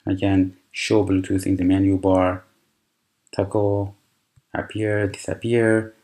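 A man whose first language is Persian, calmly walking through a computer tutorial with fillers and restarts. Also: sits low, poor audio. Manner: unhurried, explanatory, with frequent fillers and restarts. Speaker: tutorial presenter. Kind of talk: screen-recorded software tutorial.

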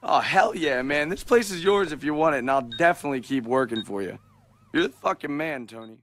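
An actor playing a slangy, eager young shopkeeper in a video game. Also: male voice, fairly high pitch, animated, a little fast, a bit bright. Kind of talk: video game character acting.

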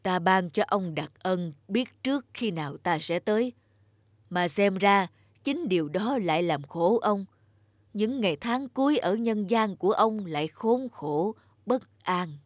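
A woman's voice: medium pitch, animated, unhurried, dry recording, studio quality. A woman voicing a solemn, commanding Grim Reaper in a folk-tale cartoon, speaking in a formal, stately manner. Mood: solemn, merciful.

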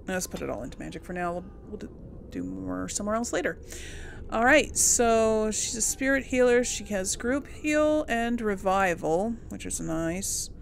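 A woman gives casual, chatty game commentary, musing aloud as she goes. Her voice is lively in pitch with a gravelly creak. A faint layer of noise sits behind her. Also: normal speed. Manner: thinks aloud to herself. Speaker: gamer hosting a role-play playthrough.

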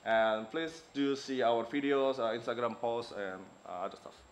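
A man from Indonesia whose first language is Javanese, giving a relaxed conference talk in English with many fillers and small laughs. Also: medium pitch, normal speed, slight background noise. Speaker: conference speaker. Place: dim lecture hall with audience.

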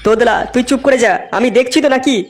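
A man's voice: very high voice, steady tone, fast. A man voicing a bossy, scheming young leader of drinking buddies in a comedy cartoon. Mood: demanding.

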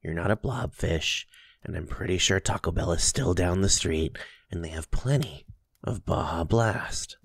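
A man playing a doting, teasing young boyfriend in an intimate ASMR roleplay, speaking softly and affectionately. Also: deep voice, unhurried, creaky, breathy edge, no echo, clean studio sound, exaggerated voice. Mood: soothing, tender. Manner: soft, affectionate, playfully teasing, with chuckles and kisses.

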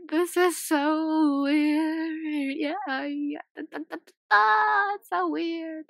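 A sixteen-year-old girl with a slightly croaky voice, chatting and giggling casually while commentating a game she is playing at home. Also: high voice, fairly even intonation, slow, fairly bright tone, dry recording, studio quality. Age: 16, teenager. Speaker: gaming YouTuber. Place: small home room.

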